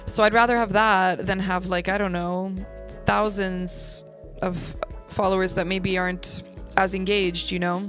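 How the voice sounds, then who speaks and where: A woman's voice: mid-range voice, level tone, unhurried, hardly any pauses, a bit bright, faint music behind. A young American woman, a singer-songwriter, chatting thoughtfully and agreeably as an interview guest. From United States. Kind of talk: casual interview chat.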